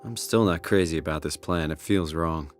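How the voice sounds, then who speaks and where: A man's voice: extremely low pitch, normal speed. A man with a North American accent playing an anxious, doubting everyman in a video game, talking in a questioning, hesitant, emotional way. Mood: wary. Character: anxious, doubting everyman lost in a hostile world. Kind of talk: video game voice acting, naturalistic dialogue. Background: music.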